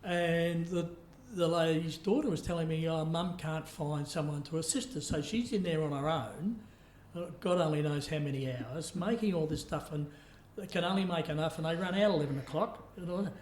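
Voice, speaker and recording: A man's voice: on the high side, a little fast, a little mellow. A man interviewing on a podcast in an informal, rambling, conversational way. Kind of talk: casual conversational interviewing with anecdotes. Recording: echoey room.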